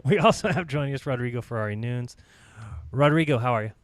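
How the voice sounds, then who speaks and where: A man's voice: medium pitch, animated, normal speed, no room sound, clean studio sound. A man hosting a live online panel chat, upbeat and chatty, laughing often, and feeling like he is losing his voice. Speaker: host.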